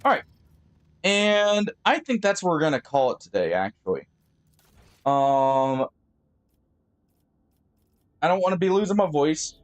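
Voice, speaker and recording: Higher-voiced man streaming a game. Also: sing-song. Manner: thinks aloud with pauses and fillers; friendly sign-off to viewers. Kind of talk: live gameplay commentary.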